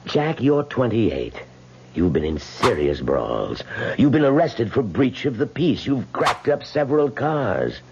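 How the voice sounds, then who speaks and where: An actor playing a frail, rich old man in a radio drama, slow, deliberate and insistent in his persuasion. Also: mid-range voice, big pitch swings, background noise. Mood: insistent, persuasive. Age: older. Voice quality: husky, gravelly. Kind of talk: 1970s radio drama acting. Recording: dull old radio recording.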